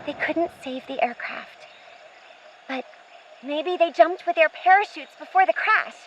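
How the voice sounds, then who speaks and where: A woman's voice: high-pitched, a little slow. A woman playing a plucky young girl, a tough big sister, earnest and childlike in a radio play. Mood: plucky, hopeful. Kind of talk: audio drama acting. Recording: muffled, faint background noise.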